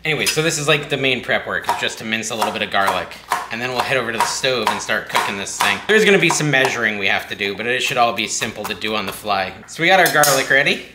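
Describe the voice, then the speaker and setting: A chatty, excitable man in his thirties talks through a recipe in his home kitchen, his voice slightly worn as he is losing it. The sound comes from a backup mic at a distance, roomy and echoey with a steady noisy hiss under it. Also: medium pitch, fast. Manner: chatty, self-deprecating, excitable. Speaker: cooking channel host. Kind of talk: casual cooking vlog. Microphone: backup camera mic at a distance.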